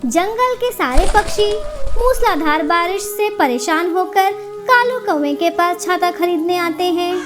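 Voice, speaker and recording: A woman narrates a scripted children's story. Her voice is extremely high in pitch. Music plays behind the narration. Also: expressive, a little slow.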